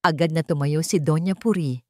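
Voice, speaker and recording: A woman's voice: low voice, fairly quick, no room sound, studio quality. A woman reading a scripted dramatic story as its narrator.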